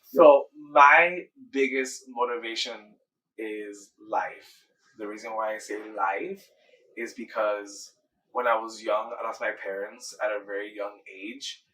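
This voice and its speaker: A man's voice: lowish voice, a bit bright, far from the mic, rough recording. A man in his thirties from Miami, a dancer and choreographer, answering interview questions in an earnest, enthusiastic way and often repeating himself. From Miami, Florida, USA. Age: 30s.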